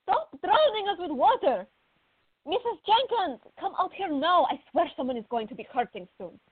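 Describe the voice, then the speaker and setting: Radio theater part: hot-tempered teenage girl played by a woman, piercingly high, animated, loudly indignant. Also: moderate pace, narrow, tinny audio. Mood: furious. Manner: yelling, indignant. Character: fiery, furious teenage girl, loud and indignant.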